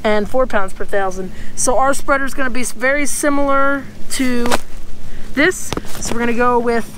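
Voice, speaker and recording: A man's voice: extremely high pitch, steady tone, moderate pace, noisy background. Young male lawn-care vlogger, rambling and chatty, recorded outdoors on an arm's-length action camera with faint open-air background. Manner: chatty, rambling, restarts and trails off. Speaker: lawn care channel host. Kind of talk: casual outdoor vlog narration. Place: outdoors in a grassy townhouse backyard.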